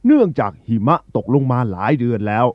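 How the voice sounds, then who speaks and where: A man's voice: medium pitch, huge pitch swings, a little fast, muffled recording. A man playing an authoritative yet caring eagle who rules the birds in a children's story, addressing his gathering with command.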